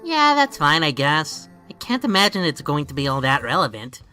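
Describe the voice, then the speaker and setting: A woman's voice: sits low, big pitch swings, normal speed, pushed and bright, faint music behind, heavily acted. A woman playing an earnest, easily frustrated young teenage boy in a webcomic fan dub, hesitant and informal. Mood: resigned.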